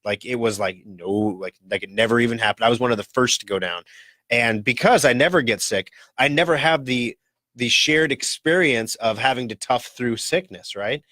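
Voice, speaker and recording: A man's voice: mid-range voice, moderate pace, pushed and bright, no echo. Scratchy-voiced male radio host with a cold. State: voice rough from a cold. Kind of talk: casual talk radio.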